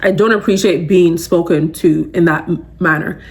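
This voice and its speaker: A woman's voice: on the low side, normal speed. A young adult woman hosting a podcast, giving a casual, emphatic motivational talk full of fillers. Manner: animated, emphatic, conversational with many fillers. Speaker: podcast host.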